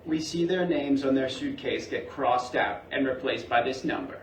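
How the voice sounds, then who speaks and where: A man's voice: medium pitch, moderate pace. A man reading scripted stage directions as narrator at a staged play reading in a roomy theatre. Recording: roomy theatre, distant mic, faint noise.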